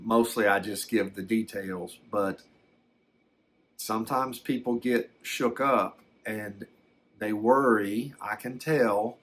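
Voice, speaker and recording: A man acting as an older storyteller with a lowish voice, talking very slowly. He is gentle and folksy, halting as he reflects, rambling through a first-person ASMR tale of alien abduction. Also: slight background noise.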